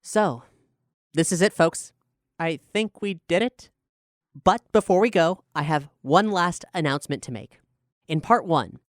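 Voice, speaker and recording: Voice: mid-range voice, no echo, studio quality. A podcast showrunner and host talking casually with guests, then reading out an earnest promotional announcement to listeners.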